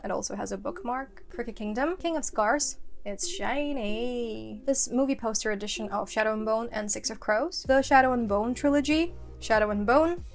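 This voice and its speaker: A woman who speaks Kazakh as her first language gives a chatty bookshelf tour at home, listing titles with a laugh now and then. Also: a little high. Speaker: book vlogger. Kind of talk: casual bookshelf tour narration. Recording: faint music under voice. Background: soft background music.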